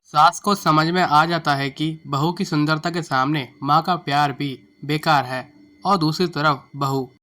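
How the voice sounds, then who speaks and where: A male storyteller delivers scripted narration. His voice is sharp and bright, pitched a little high for a man, with music underneath. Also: steady tone, unhurried.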